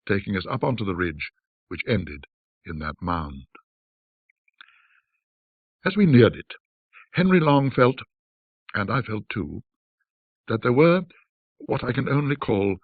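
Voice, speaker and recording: A man with a fairly low, husky voice narrates a classic ghost story. His delivery is measured and formal, in an old-fashioned literary manner. The recording is narrow and muffled. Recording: muffled band-limited audio, roomy echo.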